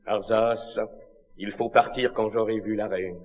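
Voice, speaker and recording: A man's voice: on the low side, steady tone, unhurried, a little hiss or hum, natural voice. Actor as anguished lovelorn king in echoing hall. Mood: resolute, grave. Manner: declamatory, solemn classical French verse delivery. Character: lovelorn Eastern king, noble, anguished, hopelessly devoted. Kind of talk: classical tragedy stage acting. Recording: muffled archive recording, long hall reverb.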